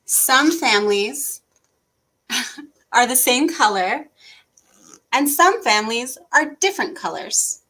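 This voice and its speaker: High, bright-voiced woman leading children's story time. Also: lively intonation, slow, long pauses. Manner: encouraging, simple instructions addressed to children. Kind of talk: interactive read-aloud for young children.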